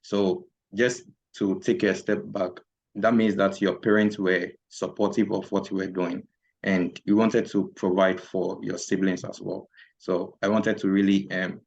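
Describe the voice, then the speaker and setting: A man's voice: low-pitched, flat delivery, unhurried, creaky, a little mellow, lo-fi sound. A man hosting a podcast interview, speaking hesitantly with many fillers and murmured affirmations. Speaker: podcast host.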